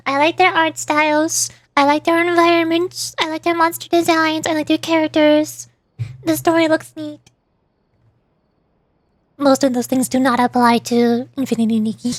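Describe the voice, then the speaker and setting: High-pitched woman chatting on a livestream. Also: no echo, clean studio sound. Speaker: streamer and VTuber. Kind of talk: casual livestream chat, reacting and rambling about games.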